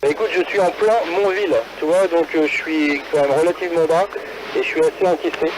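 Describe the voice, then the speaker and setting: Man, high voice, amateur radio operator, casual rambling talk, radio transmission from a car, loud noise. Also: fairly even intonation, a little fast. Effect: two-way radio transmission. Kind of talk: casual radio chat between hams. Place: in a car, mobile. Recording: very noisy ham radio relay transmission. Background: loud radio and vehicle noise.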